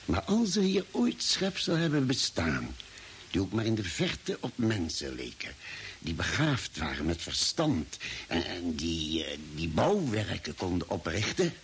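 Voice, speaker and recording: Earnest space doctor in old radio drama, explains things slowly and dramatically, very lively pitch, bit of hiss. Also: male voice, heavily acted. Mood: grave, pondering. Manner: deliberate, explanatory, dramatic pauses. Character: earnest spaceship doctor, reasoning out a grim theory. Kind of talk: old Dutch radio play acting. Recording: hissy vintage radio drama recording.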